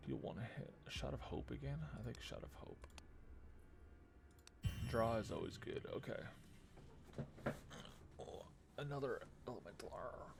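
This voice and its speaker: A man's voice: fairly low pitch, gravelly, noisy background. A man giving casual live commentary on a game he is playing, muttering his moves aloud. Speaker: game streamer. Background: game music.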